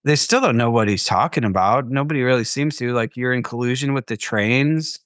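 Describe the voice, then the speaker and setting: A man's voice: medium pitch, brisk, fairly bright tone, dry recording, studio quality. A man co-hosting a podcast in casual chat about a record, musing aloud and trailing off with fillers. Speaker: podcast co-host.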